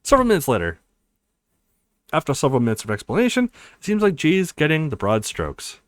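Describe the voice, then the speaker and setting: A man's voice: on the high side, wildly swooping pitch, brisk, no echo, clean studio sound. A man hosting a let's play, talking to viewers and reading visual novel text aloud as narration. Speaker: let's play video host.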